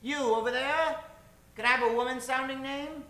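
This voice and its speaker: A man's voice: extremely high pitch, steady tone, normal speed, bright, forceful tone. A man hosting a live improv stage show, theatrical and mock-formal, projecting to the crowd in old-time radio style. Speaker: comedy show host. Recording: echoey theater stage, faint hiss.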